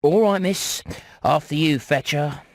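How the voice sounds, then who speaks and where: A man playing a cheeky, shifty rat salesman in an animated game, with oily, theatrical patter. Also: on the high side, a little slow, no room sound, studio quality. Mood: smug, cheerful. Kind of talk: animated video game voice acting.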